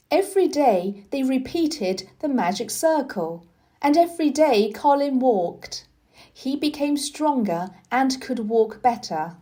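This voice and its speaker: Female children's story narrator, higher-pitched voice, expressive and unhurried read-aloud. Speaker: storybook reader.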